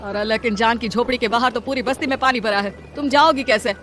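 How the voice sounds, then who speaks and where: Cartoon poor laborer husband, worried and caring, fairly high voice, a woman plays him. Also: quick pace, music in the background, close to an everyday voice. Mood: protective. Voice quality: earnest, pleading, protective. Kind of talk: Hindi cartoon voice acting.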